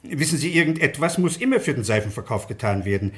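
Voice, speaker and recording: An actor playing a polite, businesslike American soap tycoon, courteous and matter-of-fact, in a German radio play. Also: male voice, mid-range voice, lively intonation, fast talker, a bit bright, lightly acted. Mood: businesslike, interested.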